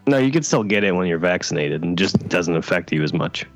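A man playing the guest in improvised comedy banter, deadpan and matter-of-fact, congested with a cold. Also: low voice, brisk, soft background music, low-quality recording.

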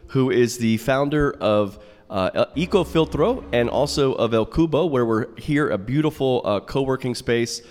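A man's voice: mid-range voice, expressive, measured pace, darkish tone. A man hosting a podcast, asking questions in a conversational way in an indoor space. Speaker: podcast host, interviewer. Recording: reverberant room with long echo.

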